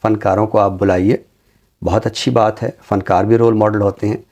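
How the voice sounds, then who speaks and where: A middle-aged Pakistani man speaking in a formal, deliberate way, as a literary commentator on a talk show. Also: on the low side, flat delivery, darkish tone. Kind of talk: reflective commentary and poetry recitation on a literary show.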